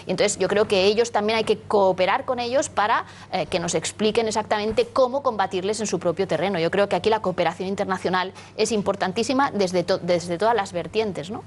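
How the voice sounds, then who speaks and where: A woman's voice: medium pitch, fairly quick, hardly any pauses, slight background noise. A woman from Catalonia speaking earnestly on a studio panel, restarting her sentences often and ending them with tag questions. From Catalonia, Spain.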